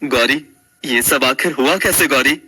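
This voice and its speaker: A man playing a young husband in a family story, caring yet exasperated, emphatic and dramatic, with a husky voice. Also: medium pitch, a little slow, pushed and bright, poor audio. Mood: concerned.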